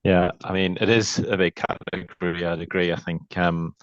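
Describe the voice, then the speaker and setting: Super deep monotone guy on a dull-sounding call, thoughtful, keeps saying i guess. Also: moderate pace, no echo. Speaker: industry panelist. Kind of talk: answering a question on a video call. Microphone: video call.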